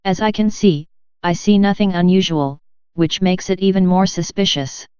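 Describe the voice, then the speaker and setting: A woman's voice: lowish voice, moderate pace, noisy background, natural voice. A woman playing an earnest news reporter giving a live report, in slightly muffled sound. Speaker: field reporter.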